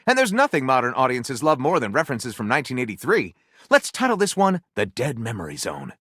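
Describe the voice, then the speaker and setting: A man's voice: on the high side, fairly bright tone, no echo, studio quality. An actor playing a flippant, self-satisfied male writer in a video game, telling tales with wry, grandiose, theatrical delivery. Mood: enthusiastic, snarky. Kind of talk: dramatic, comedic storytelling voice acting.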